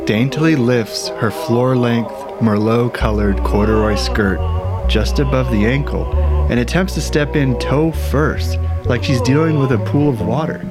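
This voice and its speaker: A man's voice: medium pitch, measured pace, over loud music, close to an everyday voice. A man playing a wry, aggrieved investigator, narrating an audio drama thriller with sardonic, dramatic emphasis. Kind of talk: scripted first-person thriller narration.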